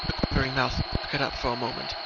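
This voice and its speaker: A man's voice: medium pitch, level tone, quick pace. A man narrating a scripted story in an earnest, theatrical way. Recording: dull lo-fi recording, background noise. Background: noise.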